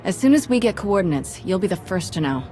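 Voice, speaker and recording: A woman voicing a brisk, reassuring fighter. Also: medium pitch, very animated, mellow, soft background music, close to an everyday voice. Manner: brisk and reassuring, lightly joking. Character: upbeat, practical woman fighter who reassures her companions.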